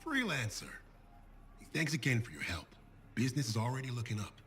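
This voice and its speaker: An actor playing a passionate armour outfitter in a video game, speaking with grandiose, playful, emphatic showmanship. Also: male voice, mid-range voice, sing-song, a little fast, a bit bright, a little hiss or hum. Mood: proud, theatrical.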